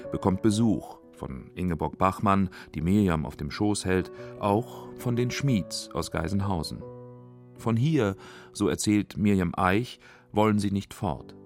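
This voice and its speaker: A man reads scripted narration for a radio feature. His voice sits very low, and soft music plays faintly behind him. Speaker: documentary narrator.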